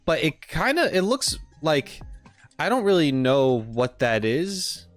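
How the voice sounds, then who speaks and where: A man's voice: on the high side, very animated, slow, no echo, faint music behind. A man giving casual, rambling live-stream commentary, musing aloud in tentative half-finished sentences. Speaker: streamer reacting to game trailers.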